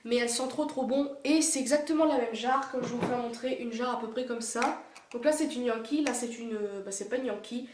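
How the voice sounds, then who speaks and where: A woman's voice: sits high, reverberant. A young woman vlogger with a cold, chatting casually and gushing, with lots of fillers. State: has a cold. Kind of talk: casual chat showing a collection. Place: indoor room by a window.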